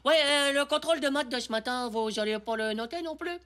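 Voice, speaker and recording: A woman voicing a cheeky, scheming, excitable ten-year-old boy in a French cartoon. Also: sits high, brisk. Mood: sheepish, evasive. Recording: music behind the voice.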